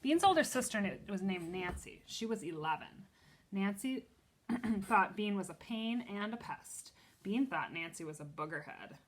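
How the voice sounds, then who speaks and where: A woman reads a children's book aloud in a teacher's style. Her mid-range voice is expressive, and she steps out of the story to explain and question. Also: medium pitch. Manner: expressive, pauses to explain pictures and ask questions.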